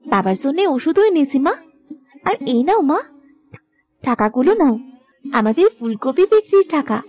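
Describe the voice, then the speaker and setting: A woman voicing a sweet, dutiful young village daughter in a cartoon moral tale, earnest and gentle. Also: sits high.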